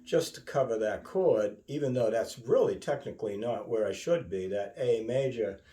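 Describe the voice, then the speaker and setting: A man giving an informal guitar lesson, thinking aloud in a musing, halting way and often checking in with a questioning tag. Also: medium pitch, lively intonation, slight background noise. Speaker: guitar teacher. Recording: roomy, distant mic, loud guitar. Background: acoustic or electric guitar playing.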